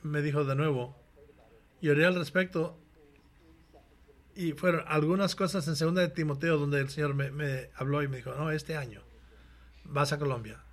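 An earnest pastor calls his congregation to action and then moves into prayer. His voice sits a little higher than most men's. He often breaks off and restarts his phrases. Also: fairly even intonation, normal speed, pauses often. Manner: earnest, exhorting, with frequent restarts. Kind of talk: sermon with closing prayer.

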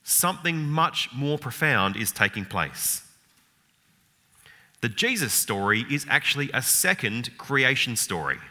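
A man's voice: medium pitch, very animated, unhurried, pushed and bright. A man giving an informal Bible lecture, thoughtful, with frequent fillers, restarts and rhetorical questions. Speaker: Bible teacher. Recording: long hall reverb.

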